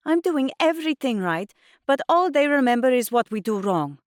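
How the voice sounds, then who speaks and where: A woman's voice: medium pitch, very animated, a little slow, no room sound, clean studio sound, pitched above the performer's own voice. A woman voicing a reproachful, weary and anxious mother in dramatised audiobook dialogue, scolding and urging. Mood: disappointed.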